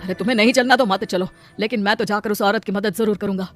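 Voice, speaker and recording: A woman's voice: mid-range voice, fairly even intonation, quick pace, soft background music, natural voice. A woman voicing a poor male laborer, an earnest, protective husband in a cartoon. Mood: urgent. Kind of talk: Hindi cartoon voice acting.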